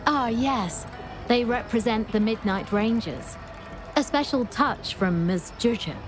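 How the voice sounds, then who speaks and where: A woman's voice: fairly high pitch, slow pace. An actress voicing a polite, welcoming female vendor at a festival stall in a video game, explaining her wares. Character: courteous female fan-painting vendor at a festival. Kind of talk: scripted video game voice acting. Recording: music playing behind the voice. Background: game music.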